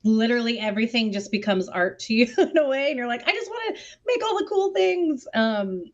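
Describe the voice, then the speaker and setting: A woman's voice: a little high, animated, a little fast, muffled recording. A woman in a casual panel discussion, talking informally and emphatically with lots of "like" sprinkled through. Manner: informal, heavy use of "like", emphatic. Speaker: theatre artist on a discussion panel.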